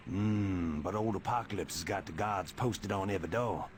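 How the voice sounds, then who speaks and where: Man doing a deep, folksy, swaggering adventurer. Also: normal speed, a little hiss or hum, lightly acted. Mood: wry, unbothered. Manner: folksy, colloquial, wry. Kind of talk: video game cutscene voice acting.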